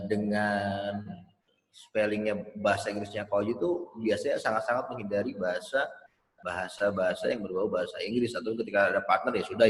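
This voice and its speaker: A man giving a casual webinar talk on emcee skills, rambling a little and sounding out tricky words as examples. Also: lowish voice. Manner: loose, rambling explanations with spoken pronunciation demos. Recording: roomy with long reverb.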